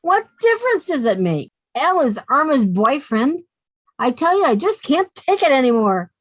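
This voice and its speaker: Lady playing fed-up sensible young gal, sarcastic, pitch goes up and down a lot, dry sound. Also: big pitch swings, normal speed, no echo, low-quality recording. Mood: exasperated. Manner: exasperated, sarcastic. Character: sensible, exasperated young working woman. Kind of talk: 1950s radio sitcom acting.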